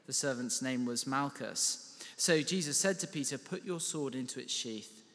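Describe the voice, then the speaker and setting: A man reading a scripture passage aloud in a slow, measured way. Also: mid-range voice, a bit bright, big-room sound. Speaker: scripture reader.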